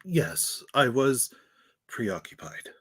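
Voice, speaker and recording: A man's voice: medium pitch, very animated, a bit bright, breathy edge, no room sound, studio quality, exaggerated voice. A man playing a composed, authoritative professor leading a team of heroes in a radio play. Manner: measured, authoritative.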